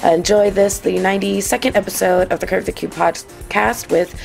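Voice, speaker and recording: A slightly hoarse woman hosting and producing a podcast, bubbly and excitable in casual chat. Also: mid-range voice, normal speed, faint music behind, low-quality recording. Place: restaurant on the water.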